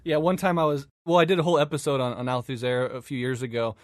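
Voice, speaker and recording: A man's voice: mid-range voice, flat delivery, fast talker, dry recording, studio quality. A man with an American accent hosting a podcast interview, chatty and eager, laughing easily between his questions. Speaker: podcast host. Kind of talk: casual interview chat.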